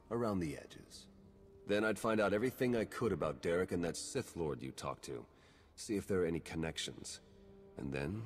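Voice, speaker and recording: An actor playing a wry, cynical male spy in a video game, dry and understated. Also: low-pitched. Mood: conspiratorial. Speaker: intelligence agent character. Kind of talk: video game cutscene acting. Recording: long hall reverb, noisy ambience behind.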